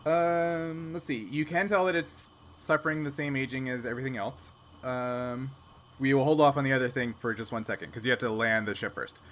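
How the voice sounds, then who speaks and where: Bright-voiced man running a tabletop game. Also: mid-range voice, fairly even intonation, a little fast, slight background noise. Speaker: game master. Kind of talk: improvised narration of a tabletop sci-fi game.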